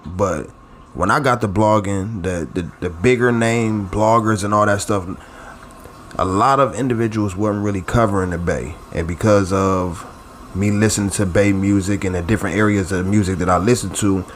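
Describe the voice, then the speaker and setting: Late-30s guy with a real low voice, rambling vlog talk, loose and chatty. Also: normal speed, a little hiss or hum. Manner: rambling and conversational, with many restarts and asides. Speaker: YouTube blogger and channel host. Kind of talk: casual talk straight to camera.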